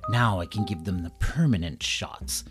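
A performer playing a gloating, scheming male villain doctor, theatrical and drawn-out in manner. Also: deep voice, animated, faint music behind. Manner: theatrical, drawn-out, falsely sweet.

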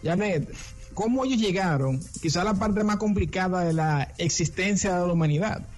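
A man's voice: high voice, animated, normal speed. A Dominican man co-hosting a radio interview, chatty and introducing the guests at length with many restarts. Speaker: co-host. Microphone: remote call.

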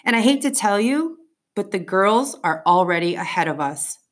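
A woman's voice: medium pitch, animated, moderate pace, lightly acted. A woman reading the part of a bossy, eager fifth-grade boy rallying his friends in an audiobook. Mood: confident, urging.

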